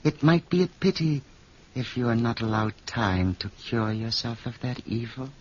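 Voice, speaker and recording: A man's voice: medium pitch, lively intonation, moderate pace, a little mellow, highs cut off, natural voice. An actor in an old radio horror drama playing an urbane, menacing fat man who relishes murder, with suave, hesitant, ornate speech. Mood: cold menace. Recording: noisy, band-limited vintage radio recording.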